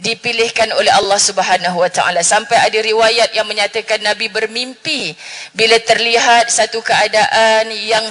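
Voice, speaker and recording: Middle-aged woman preacher with a northern Malaysian Malay accent; bright, animated, playful with the crowd; long hall reverb. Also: mid-range voice. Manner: chatty, jokes with the audience, Arabic phrases. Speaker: religious speaker. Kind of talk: lively religious forum talk. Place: mosque hall.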